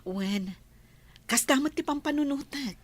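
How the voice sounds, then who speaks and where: A woman's voice: sits high, expressive, unhurried. A woman with an Ilocano accent playing an appreciative employer of a household helper in a television drama. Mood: pleasant, appreciative.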